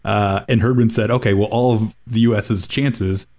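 Wry low-voiced man analyzing soccer. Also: fairly even intonation, moderate pace, a little mellow. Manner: wry, rambling analysis with fillers and laughs. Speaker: podcast co-host. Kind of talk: casual soccer podcast chat.

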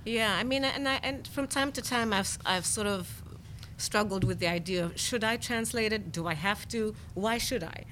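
A woman's voice: medium pitch, normal speed, fairly bright tone, a little hiss or hum. A woman from Zimbabwe, a poet and storyteller, talking reflectively in conversation and asking herself questions. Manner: thoughtful, questioning, frequent murmured agreement.

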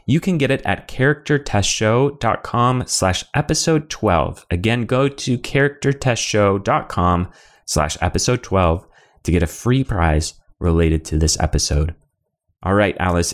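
A man hosting a podcast, presenting from a script in an upbeat way and then chatting with his co-host. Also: lowish voice.

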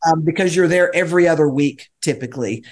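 An American man with a fairly high voice answers interview questions conversationally. His phrasing is halting and hesitant, broken by many pauses as he searches for how to put things. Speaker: interview guest, volunteer church ministry leader.

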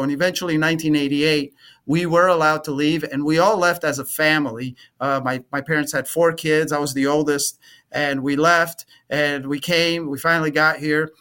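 A man in his forties, a guest on an interview podcast, answering earnestly with halting restarts. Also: fairly high pitch, bright, forceful tone. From Romania, raised in Atlanta. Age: 40s.

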